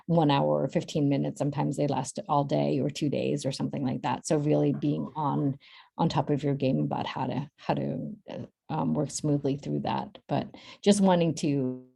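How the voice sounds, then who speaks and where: Casting: female workshop host, low creaky voice, halting informal advice-giver who often rephrases herself. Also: fairly even intonation, dark tone. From Boston, United States. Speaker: workshop host and centre staff speaker. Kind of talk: informal workshop advice over video call. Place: virtual workshop video call.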